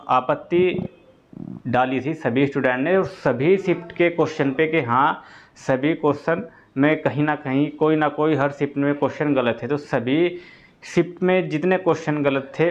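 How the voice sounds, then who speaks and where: A man gives an informal explanatory update to students, going step by step and repeating key points. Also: fairly high pitch. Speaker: exam-update presenter for students. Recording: dull lo-fi audio.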